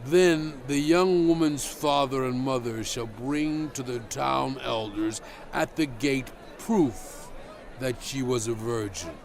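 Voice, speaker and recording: A man playing a solemn, stern prophet proclaiming ancient law in a grave, deliberate, emphatic dramatized scripture reading. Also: medium pitch, a crowd in the background.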